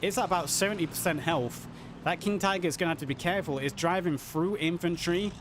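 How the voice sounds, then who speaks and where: A man casting a live online match, his voice hoarse and worn as he narrates the action with rising excitement. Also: fast, slight background noise. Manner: excited, builds to high pitch on big plays. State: losing his voice. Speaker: esports caster. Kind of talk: live game commentary.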